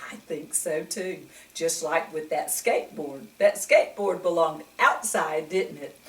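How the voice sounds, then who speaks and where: A woman reading a picture book aloud to children in an animated way, often putting questions to the listener. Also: medium pitch. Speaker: children's story reader. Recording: echoey room, distant mic.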